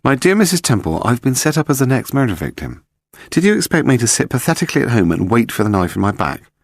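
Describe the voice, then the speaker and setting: Man putting on a brazen young woman, pitched up. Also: big pitch swings, quick pace, no echo, studio quality. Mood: indignant, dramatic. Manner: coy, provocative.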